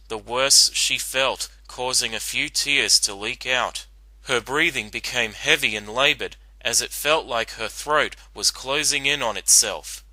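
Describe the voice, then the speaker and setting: A man's voice: medium pitch, pushed and bright, no echo, low-quality recording. A man narrating a story as a scripted dramatic reading.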